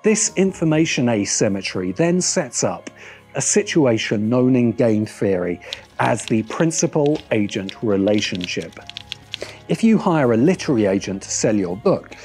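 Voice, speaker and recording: A middle-aged man narrating a scripted video essay in a measured way, with deliberate emphatic pauses. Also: medium pitch, sing-song, a little mellow, soft background music.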